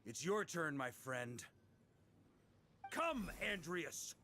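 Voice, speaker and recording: A man's voice: fairly high pitch, big pitch swings, very slow, pushed and bright, a little hiss or hum, full character voice. A man playing a middle-aged, easygoing but confident knight commander in a video game. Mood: wary, calm.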